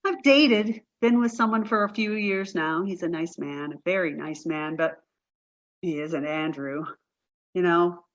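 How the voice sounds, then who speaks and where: An actress playing an apologetic, grieving forty-three-year-old artist in a play reading, with a halting, emotional delivery. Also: female voice, lowish voice, highs cut off, natural voice. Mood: wistful grief.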